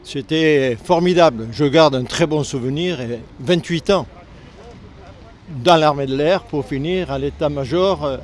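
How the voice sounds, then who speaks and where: An older man with a southern French accent from Lozère, a retired air force serviceman speaking earnestly in a street interview, halting when the subject turns painful. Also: a little high. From Lozère, southern France. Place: outdoors on a city street.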